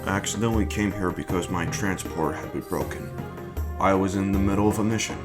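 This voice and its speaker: Low male voice, man acting a reserved young operative, earnest, formal wording, amateur audio drama, loud music behind. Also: fairly quick, natural voice.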